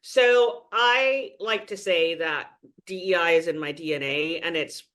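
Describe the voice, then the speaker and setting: A man's voice: fairly high pitch, unhurried, bright, forceful tone. A man from Trinidad and Tobago, a guest speaking earnestly and with emphasis in a podcast interview.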